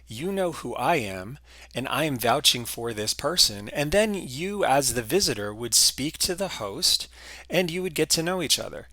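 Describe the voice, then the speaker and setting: Bright-voiced male history lecturer. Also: mid-range voice, no echo. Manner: explanatory, with fillers and restarts.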